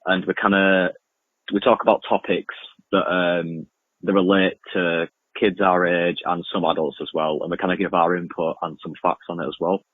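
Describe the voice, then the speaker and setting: A young man with a very deep, rough-edged voice gives casual, halting interview answers. He repeats himself informally. The sound is narrow and muffled, like a poor remote connection. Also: little pitch movement. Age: young adult. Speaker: interview guest, amateur music producer and podcaster. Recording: muffled lo-fi, roomy echoing remote link.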